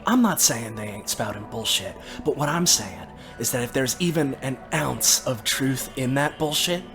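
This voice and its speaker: A man's voice: medium pitch, expressive, moderate pace, over music, natural voice. A man playing a skeptical, grudgingly reasonable adventurer in a tabletop game, with folksy, sweary phrasing. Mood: grudging, reasoning.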